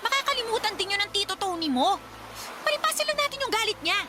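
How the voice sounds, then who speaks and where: An actress playing a cruel, manipulative Filipino mother in a radio drama, sharp, sarcastic and dismissive as she scolds. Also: very high voice, big pitch swings, fast, background noise. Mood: threatening. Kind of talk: Tagalog radio drama acting. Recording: music under the voice.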